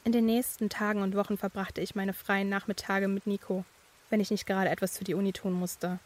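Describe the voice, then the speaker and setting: Young female student narrator, read by an actress, medium pitch, flat intonation, measured eerie storytelling. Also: lightly acted. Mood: measured, uneasy. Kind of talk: scripted first-person horror story narration.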